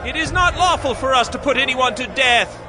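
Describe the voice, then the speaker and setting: Shrill, indignant accusing priest. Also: male voice, fairly quick. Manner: urgent, declamatory. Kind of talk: dramatized scripture acting. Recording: crowd and music behind.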